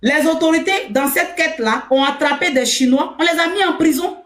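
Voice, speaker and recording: Woman live host, high voice, combative, echoey room sound. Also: brisk. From Burkina Faso. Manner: combative, insulting, forceful. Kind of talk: heated political talk.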